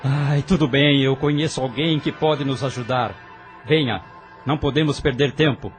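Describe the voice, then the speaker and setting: A man's voice: mid-range voice, steady tone, normal speed, over music, close to an everyday voice. A man with a Brazilian Portuguese accent voicing a determined young man who speaks urgently in an audio drama.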